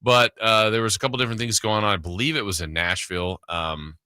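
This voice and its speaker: A man's voice: fairly low pitch, quick pace, pushed and bright, clean tone, no echo, clean studio sound. A man hosting a sports radio show in casual, chatty and enthusiastic talk.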